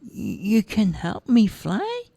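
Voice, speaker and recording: A woman's voice: mid-range voice, wildly swooping pitch, slow, creaky, mellow, dry recording, pitched above the performer's own voice, full character voice. A woman voicing a young boy who stammers and hesitates when he speaks. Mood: wondering. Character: young boy, tearful and wondering.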